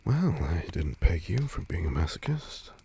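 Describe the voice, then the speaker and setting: A man playing a tender, teasing but insecure vampire lover in an intimate roleplay audio drama, murmuring breathily and haltingly, often slipping into whispers. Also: sits low, sing-song, gravelly, dark tone, husky, full character voice.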